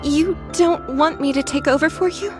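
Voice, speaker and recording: An actress playing a gentle, caring young female soldier in a video game, with a soft-hearted, hesitant manner. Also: sits high, moderate pace, close to an everyday voice. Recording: background music under voice.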